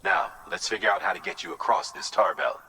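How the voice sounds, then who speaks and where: An actor playing an earnest, resolute mission commander in a video game, speaking in a measured, encouraging way. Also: male voice, on the low side, sing-song, gravelly, background noise. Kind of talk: video game dramatic dialogue.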